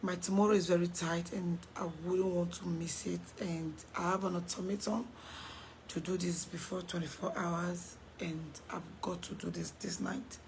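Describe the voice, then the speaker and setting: A woman with a Nigerian accent who has lost her voice, speaking hoarsely and slowly, repeating herself and dropping into whispers. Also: fairly low pitch, level tone, darkish tone, slight background noise, poor audio. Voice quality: raspy, cracked voice. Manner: slow, halting, repeats herself, drops to whispers. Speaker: vlogger making an apology video. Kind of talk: casual direct-to-camera apology.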